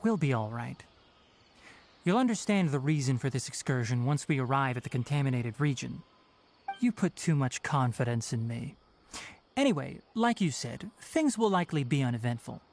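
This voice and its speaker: A man voicing a calm, wry young male forest ranger who mentors his apprentice in a video game. He talks in a composed, measured, dryly instructive way. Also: medium pitch, slight background noise, close to an everyday voice.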